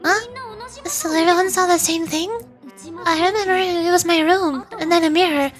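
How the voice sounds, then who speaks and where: A woman's voice: very high voice, steady tone, moderate pace, soft background music, voice pushed up. A woman voicing a perky, puzzled young woman adventurer from a video game, informal and full of questions. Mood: surprised, curious. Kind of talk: live read-aloud of video game dialogue.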